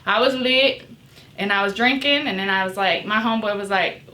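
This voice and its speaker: Young woman telling a story, bright voice, kinda far from the mic in a roomy space. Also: medium pitch, fairly quick. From New York. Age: 20s. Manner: animated storytelling, laughs often. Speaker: guest. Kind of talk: casual chat.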